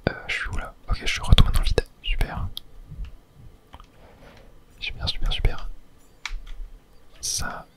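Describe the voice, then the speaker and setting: A man's voice: a little slow, pauses often, a bit bright. Whispering man, ASMR gamer. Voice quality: whispered, breathy, mostly unvoiced. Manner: casual, muttering to self and viewers. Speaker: game streamer, ASMR video host. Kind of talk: whispered ASMR gameplay commentary. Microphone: close microphone.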